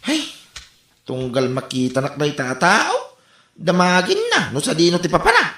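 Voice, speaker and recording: An actor with an Ilocano accent playing a hurried, grumbling office worker in a radio comedy drama. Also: medium pitch, wildly swooping pitch, pushed and bright. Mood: exasperated. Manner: grumbling, exclamatory. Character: exasperated, hurried office worker pestered by neighbours. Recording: echoey sound with music bed.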